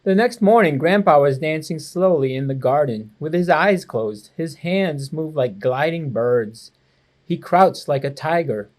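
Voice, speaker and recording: A man reading a children's storybook aloud to students with expressive, clear phrasing, like a school educator. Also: on the high side, a little slow. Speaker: assistant principal reading to students.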